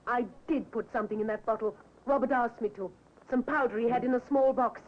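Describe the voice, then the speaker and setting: An actress playing a cool, proud Victorian wife in a 1950s radio drama, composed and haughty. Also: female voice, fairly high pitch, a little fast, natural voice. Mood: cool, defiant. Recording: thin, tinny 1950s radio recording.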